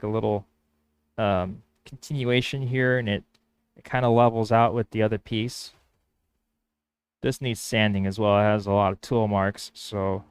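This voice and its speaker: Casting: male woodworker with Spanish as his first language. Smooth, mid-pitched voice, close dry sound, rambling workshop talk. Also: dry recording. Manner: thinking aloud, hesitant restarts. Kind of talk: casual workshop vlog narration.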